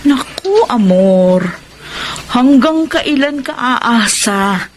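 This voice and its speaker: Blunt, scolding older confidante. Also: female voice, a little high, lively intonation, extremely slow, slight background noise. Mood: scolding, exasperated. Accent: Filipino. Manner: frank, scolding, sarcastic. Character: blunt, nosy, protective older woman confidante. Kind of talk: Tagalog radio drama acting.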